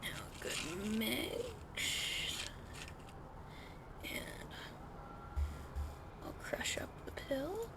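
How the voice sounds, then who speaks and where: Woman, soft close whisper, slow, slightly high voice, gently bossy, fan hum behind. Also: huge pitch swings. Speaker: ASMR roleplay narrator. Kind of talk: whispered ASMR roleplay. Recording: fan noise in background.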